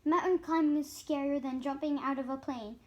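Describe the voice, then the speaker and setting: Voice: high-pitched, fairly even intonation, brisk, darkish tone, dull audio. A child of about seven or eight reading a picture book aloud, stumbling now and then on harder words. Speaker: young reader.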